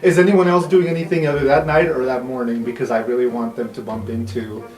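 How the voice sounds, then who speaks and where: A man's voice: medium pitch, steady tone, fast, reverberant. A man narrating an improvised tabletop game as game master in a casual, colloquial way. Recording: music bed under voice.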